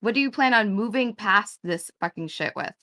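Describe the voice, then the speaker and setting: A woman's voice: medium pitch, expressive, moderate pace, sharp tone. A woman in her thirties arguing hard in an online debate, exasperated and swearing, talking over the other side. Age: 30s. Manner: exasperated, confrontational, swears, talks over him. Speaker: debate guest.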